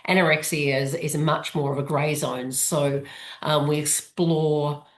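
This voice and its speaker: Low-voiced woman in an echoey room. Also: fairly even intonation, unhurried. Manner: adds points with fillers and repeats. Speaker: co-author guest speaker. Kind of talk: webinar talk. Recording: roomy echo.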